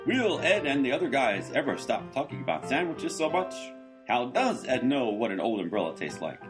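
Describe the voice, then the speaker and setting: A man playing a melodramatic announcer of a comic radio serial, delivering rhetorical teasers loudly. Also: medium pitch, big pitch swings, normal speed, over music, lightly acted. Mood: mock suspense. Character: mock-dramatic male serial announcer. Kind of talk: old-time radio serial narration.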